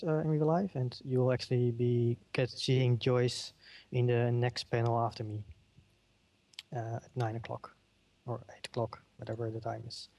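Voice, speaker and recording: A middle-aged man with a Dutch accent gives a keynote, pausing often with ums and uhs. His voice sits at an ordinary middle pitch. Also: warm tone. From Netherlands. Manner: halting, many ums and uhs. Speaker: keynote speaker. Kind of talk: conference keynote in a virtual world.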